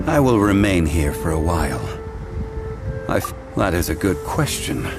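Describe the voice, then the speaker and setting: An actor playing a calm, ancient god in a video game, speaking formally and reflectively with measured solemnity. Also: male voice, sits low. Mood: composed, courteous. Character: calm ancient male god living as a courtly consultant. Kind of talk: scripted video game dialogue. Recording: game dialogue over prominent background music.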